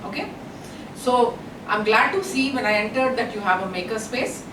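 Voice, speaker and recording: Loud woman lecturing in an echoey hall. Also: fairly high pitch, moderate pace. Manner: chatty, engaging, asks the audience questions. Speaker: invited guest speaker. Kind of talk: lecture to college students. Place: college hall. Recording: echoey hall, far from mic, noisy.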